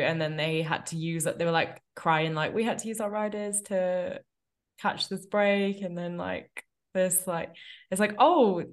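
A woman with a cold and a nasal voice, co-hosting a casual podcast chat, wry and full of "like" fillers. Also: fairly low pitch. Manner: chatty, wry, many "like" fillers. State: congested with a cold. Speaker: podcast co-host.

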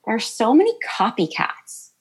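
Woman yoga teacher, high-pitched and bubbly, talks casually in an interview. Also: huge pitch swings, normal speed, slightly rough. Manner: bubbly, laughs often, many fillers. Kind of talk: interview answers, casual chat.

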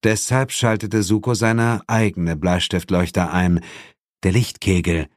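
A man's voice: low voice, fairly even intonation, measured pace, no echo. A man narrating a horror-comedy audiobook in a dramatic scripted style, recorded in a studio. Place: dry studio.

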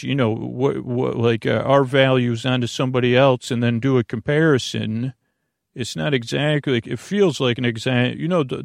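A man plays a dutiful little brain protector with a creaky, gravelly edge. It explains itself earnestly and hesitantly, a bit defensive, in a dry, close bedtime-podcast recording. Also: medium pitch, no room sound, close to an everyday voice. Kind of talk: improvised comic dialogue in a bedtime podcast.

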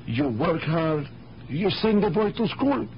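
An actor playing a kindly, fussing immigrant shopkeeper in a radio drama, repeating himself in broken English. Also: male voice, a little high, sing-song, takes it slowly. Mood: disapproving. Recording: muffled old radio broadcast, faint hiss.

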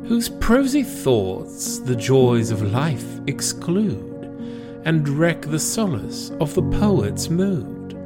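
A man reciting poetry in a theatrical, deliberate manner. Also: on the high side. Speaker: poetry podcast reader. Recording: music playing behind the voice.

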